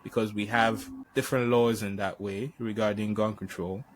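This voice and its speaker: Soft-spoken low-voiced male host. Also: fairly even intonation, normal speed. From Nigeria. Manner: hesitant, repeats words while thinking. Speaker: podcast host. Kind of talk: conversational interview.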